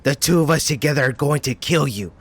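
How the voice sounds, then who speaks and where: A man playing an angry, menacing back-alley thug with a rough voice in an audio drama dub. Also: on the high side, a little fast. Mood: furious. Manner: snarling, menacing.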